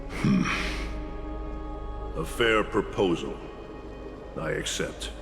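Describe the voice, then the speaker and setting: Proud, bitter old game mentor, gravelly and accusing, music underneath. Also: male voice, low voice, animated, extremely slow, full character voice. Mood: guarded, resigned. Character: bitter, defiant old male teacher of a warrior people. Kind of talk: dramatic video game voice acting. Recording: long reverb, music behind.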